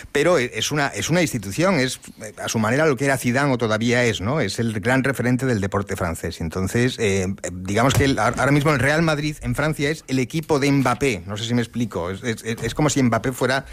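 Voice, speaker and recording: A man's voice: medium pitch, lively intonation, a little fast, fairly bright tone. Male correspondent, mid-range voice. Thoughtful, explanatory, conversational radio analysis with frequent self-rephrasing. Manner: reflective, explanatory, often rephrasing himself. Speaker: correspondent on French news and sport.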